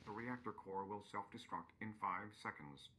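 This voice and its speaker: Man voicing a low, calm countdown computer. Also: moderate pace, lightly acted. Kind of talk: video game announcement. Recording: game audio with clear noise.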